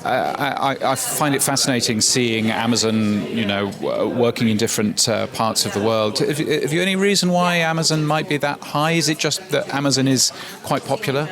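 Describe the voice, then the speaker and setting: A man's voice: medium pitch, moderate pace, a crowd in the background. Chatty male podcast interviewer. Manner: conversational, asks questions, restarts often. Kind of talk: conference interview.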